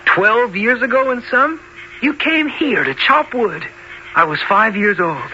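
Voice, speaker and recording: Jungle-raised youth of seventeen, high pitch, solemn, formal, muffled noisy radio recording. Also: male voice, animated, bright, forceful tone, heavily acted. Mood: earnest, solemn. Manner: measured, solemn, formal phrasing. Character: Mowgli, young man of seventeen raised in the jungle. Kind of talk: old-time radio drama acting.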